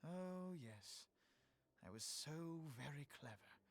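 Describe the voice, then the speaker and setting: A man's voice: on the high side, animated, slow pace. An actor playing a once-proud teenage male elf noble in a fantasy game, with formal archaic diction and bitter self-reproach. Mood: bitter remorse. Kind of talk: dramatic fantasy game voice acting.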